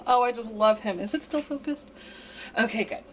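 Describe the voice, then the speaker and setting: A young woman in her twenties chatting casually on a home vlog, her voice slightly raspy from dust, with a chatty, upbeat manner. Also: a little high, moderate pace, slight background noise, rough recording. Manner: chatty, upbeat, filler-heavy. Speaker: vlogger. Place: home, indoors. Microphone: camera propped up nearby.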